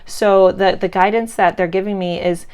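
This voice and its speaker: A woman's voice: mid-range voice, steady tone, normal speed, a little mellow, clean tone. Chatty woman with a congested, cracking voice. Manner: chatty and reassuring, loose with many fillers. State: congested, cracking voice from sinus drainage. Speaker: tarot reader. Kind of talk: casual pick-a-card tarot reading.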